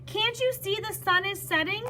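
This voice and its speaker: A woman's voice: very high voice, normal speed, sharp tone, slight background noise, pitched above the performer's own voice. A woman voicing a quarrelsome, greedy young village brother from a storybook in a whiny, argumentative way.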